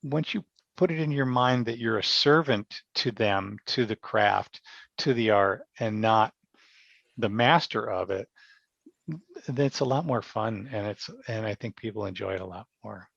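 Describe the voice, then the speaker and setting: A man with a gravelly edge to his mid-pitched voice talks as an interview guest, explaining his approach in a conversational way. He circles back to rephrase his points. The sound is dry and slightly dull. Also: a little slow, fairly bright tone, no room sound. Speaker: radio theatre director, podcast guest.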